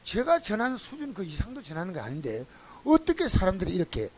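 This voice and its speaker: A man's voice: sits high, fast, breathy edge. A man preaching a sermon as pastor, speaking in a Gyeongsang accent and telling folksy stories to his congregation. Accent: Gyeongsang Korean. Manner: folksy, animated storytelling to the congregation.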